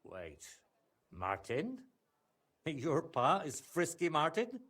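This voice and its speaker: Candid tradesman, middle-aged, for a game: chatty, highish voice, strongly varied intonation. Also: wildly swooping pitch, slow, fairly bright tone, exaggerated voice. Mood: curious, amused. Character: chatty, candid guild craftsman, old acquaintance of hero's father. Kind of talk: video game dialogue acting.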